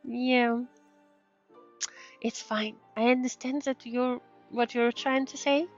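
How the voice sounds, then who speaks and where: A woman streamer chatting casually over a game in non-native English. She speaks slowly and haltingly in a fairly high voice, searching for words. The sound is slightly dull, with soft game music behind her. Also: fairly even intonation. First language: not English. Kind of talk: casual gameplay commentary, reading dialogue aloud.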